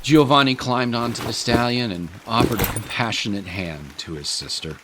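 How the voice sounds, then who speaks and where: A man's voice: mid-range voice, normal speed, background noise. A man reading scripted, dramatic story narration as the narrator of an audio drama.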